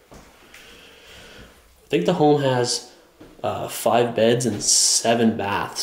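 A young man with a cold, chatting casually as he films himself walking through an abandoned house. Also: mid-range voice. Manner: enthusiastic, chatty, many fillers. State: sick with a cold. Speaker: urban explorer vlogger. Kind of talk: casual walkthrough narration. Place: empty abandoned house, indoors. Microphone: small camera held at arm's length.

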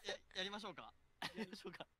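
A woman's voice: mid-range voice, expressive, rapid-fire, sharp tone. A woman, an amateur voice actor, chatting casually and playfully in a recording session.

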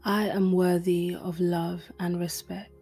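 A woman reading a guided meditation in a soft, breathy, gentle and soothing voice. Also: on the low side, little pitch movement, takes it slowly. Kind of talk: guided meditation, scripted narration. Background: music.

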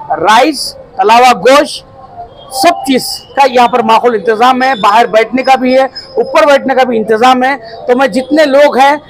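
A man's voice: very high-pitched, poor audio. A young man with a Hyderabadi accent giving a comic, exaggerated promotional speech into news mics in a crowded eatery. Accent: Hyderabadi Hindi-Urdu. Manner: theatrical, comic, exaggerating, stretched-out words. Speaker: restaurant owner promoting his food court. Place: crowded small eatery indoors. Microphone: cluster of handheld news mics.

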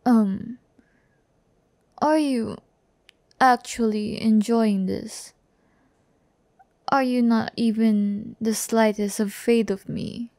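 Slow, soft-toned teasing demon girl played by a woman. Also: a little high, steady tone, no echo. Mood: amused, curious. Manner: breathy, unhurried, teasing, often dropping to a whisper. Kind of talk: intimate ASMR audio roleplay. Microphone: close-up microphone.

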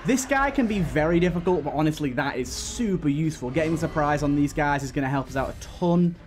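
A man's voice: fairly high pitch, animated, fast talker, soft background music. A man giving casual gameplay commentary, thinking aloud and talking to the game's characters. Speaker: gaming video host.

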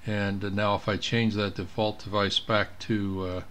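A man's voice: fairly low pitch, flat delivery, moderate pace, fairly bright tone, slight background noise. A man giving a casual screen tutorial as an amateur radio operator, thinking aloud as he works. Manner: thinking aloud while working. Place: indoors at a computer.

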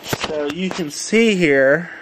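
A man casually demonstrating a home electronics project, unhurried and thinking aloud with fillers, filmed on a handheld camera in a room at home. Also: fairly high pitch, noisy background. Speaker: tutorial presenter. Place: home room with old radio.

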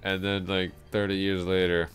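A man playing a drunk, awkwardly smitten office coworker in an intimate ASMR roleplay, rambling, hesitant and trailing off in a slurred, gravelly voice. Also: low voice, completely flat delivery, moderate pace, a bit bright, faint music behind. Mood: drunken rambling.

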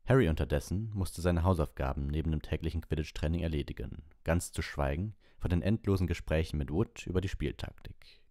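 Deep-voiced man narrating a storybook. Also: fairly quick, dark tone, dry recording, studio quality. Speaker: audiobook narrator.

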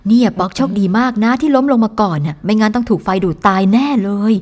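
A woman's voice: mid-range voice, fast, close to an everyday voice. A woman playing a kindly, scolding grown-up who advises children in a puppet show, over music. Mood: gently scolding. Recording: loud music behind the voice.

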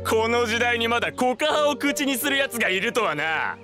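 A high, wildly swooping male voice for a menacing game thug. He talks rough and sneers as he bullies people, with music clearly playing behind him. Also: exaggerated voice. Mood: mocking. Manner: rough, threatening, sneering speech. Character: bullying thug debt collector, menacing and mocking grown man. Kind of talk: Japanese anime game dubbing.

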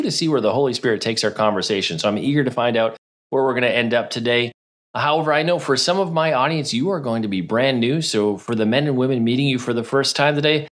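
A middle-aged man hosting a podcast from his home studio, reading a scripted opening and then asking friendly questions. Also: medium pitch. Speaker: podcast host. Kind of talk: podcast interview. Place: indoors, home studio with neon sign. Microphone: over-ear headset.

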